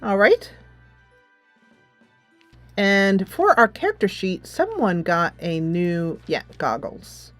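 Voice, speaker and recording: A woman's voice: medium pitch, expressive, takes it slowly, pauses often, fairly bright tone, no echo, soft background music. A woman gaming host giving casual commentary, thinking aloud as she plays a tactics game.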